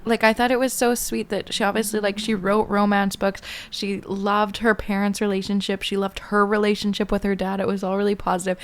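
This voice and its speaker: Woman, podcast co-host, creaky voice, casual book chat, opinionated, giggly. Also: medium pitch, level tone, a little fast, hardly any pauses.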